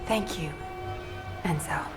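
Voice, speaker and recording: An actress playing a gentle, guilt-ridden mother and soldier in a video game, earnest and apologetic. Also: slow, over music, lightly acted. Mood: earnest, determined. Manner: soft-hearted, earnest, apologetic. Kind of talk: dramatic video game voice acting.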